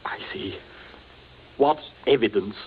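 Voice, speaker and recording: An actor playing a frightened gentleman cornered at gunpoint in a 1940s radio drama, halting and stammering as he protests. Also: lowish voice, slight background noise. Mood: stunned. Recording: muffled old radio broadcast.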